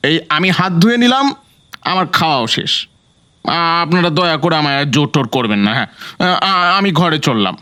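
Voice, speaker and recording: Man acting a high-pitched, flustered young son-in-law. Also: lively intonation, fairly quick, bright, forceful tone, clean tone. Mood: stubborn, flustered. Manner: whining, flustered, stammering. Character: gluttonous, bumbling young son-in-law feigning refined manners. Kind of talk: comic audio drama acting.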